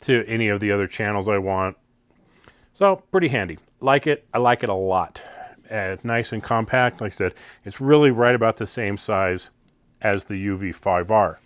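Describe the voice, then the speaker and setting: A man's voice: fairly low pitch, expressive, normal speed, smooth, dry recording. A man with an American accent giving a casual, unscripted gear review in a plain-spoken, conversational way. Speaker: product reviewer, channel host.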